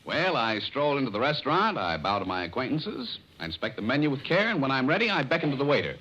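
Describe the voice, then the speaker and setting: Fast, animated man in old radio comedy. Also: medium pitch, dull audio. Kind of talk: 1940s radio comedy acting. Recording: old radio recording, faint hiss.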